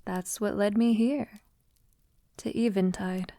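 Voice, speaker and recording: A woman playing a centuries-old vampire lover in an intimate audio roleplay, tender, wistful and confiding, softly spoken with lapses into whispering. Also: medium pitch, steady tone, no echo, clean studio sound, close to an everyday voice. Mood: somber, confessional. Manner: soft, unhurried, breathy lapses into whispering.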